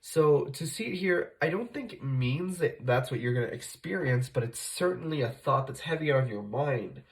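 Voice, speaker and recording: A man's voice: medium pitch, lively intonation, normal speed, hardly any pauses. A man with a slight cold speaks in a mid-range voice as he walks viewers through a tarot reading. His delivery is loose and conversational, full of "uh" and "like," and he often catches and corrects himself mid-sentence. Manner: conversational, self-correcting, many fillers. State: fighting off a cold, occasional cough. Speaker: tarot reader, channel host. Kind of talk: casual tarot reading to camera.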